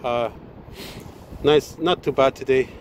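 A male HVAC technician talks haltingly through a repair, filling his pauses with "uh". He speaks at an ordinary middle pitch into a handheld phone. He is outdoors on a rooftop, and clear wind noise hits the mic. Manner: halting, full of "uh" fillers. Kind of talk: casual work video narration. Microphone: handheld phone camera.

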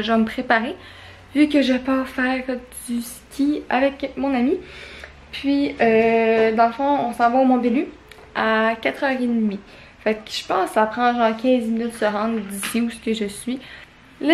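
A young woman in her twenties with a Quebec French accent, chatting casually as a vlogger, rambling with lots of fillers into a handheld camera. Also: a little high. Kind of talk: casual vlog chat. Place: small apartment indoors. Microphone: handheld camera at arm's length.

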